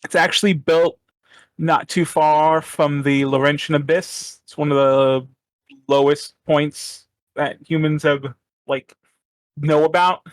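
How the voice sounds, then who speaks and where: A man runs a tabletop horror game as game master, laying out the setting in a loose, rambling way with plenty of fillers. His voice sits a little high. It is recorded close and dry, with no room sound. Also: level tone, takes it slowly, no echo. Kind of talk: casual tabletop game narration.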